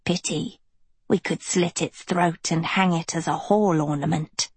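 A creepy teenage witch girl, acted by a woman reading a storybook, low and husky. She is cold and mocking, with wheezy menace in a slow delivery. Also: steady tone, dry recording, full character voice.

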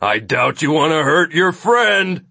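A man voicing a large, menacing villain who taunts deliberately in an audiobook. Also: on the high side, sing-song, vocal fry, pushed and bright, pitched above the performer's own voice, full character voice. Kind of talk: audiobook character voice.

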